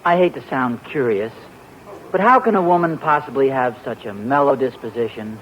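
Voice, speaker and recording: A man's voice: mid-range voice, expressive, normal speed, natural voice. A man playing a teasing, chuckling sitcom husband on old radio. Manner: playful, chuckling, mock-innocent. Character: teasing, good-natured young husband. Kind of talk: 1940s radio sitcom acting. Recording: dull lo-fi 1940s radio broadcast with hiss.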